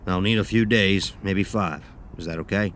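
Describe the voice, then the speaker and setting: An actor playing a gruff, blunt, grieving taxidermist in an audio horror drama, talking in a curt, folksy way. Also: male voice, deep voice, normal speed, fairly bright tone, slight background noise. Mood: curt, businesslike.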